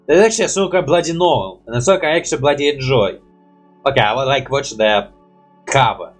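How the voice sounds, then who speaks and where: A young man talks in a slightly rough, unclear voice over faint music. He rambles through a casual reaction commentary in halting non-native English. Mild curses are scattered through his speech. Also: medium pitch. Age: young adult. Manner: habitual mild swearing. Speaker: reaction video host. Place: small room at home.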